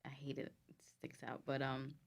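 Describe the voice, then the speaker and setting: A woman's voice: very deep voice, flat delivery, normal speed, vocal fry. A woman with a Guyanese accent, a guest entrepreneur in a chatty, candid conversation. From United States, Guyanese family. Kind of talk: casual chat over cocktails.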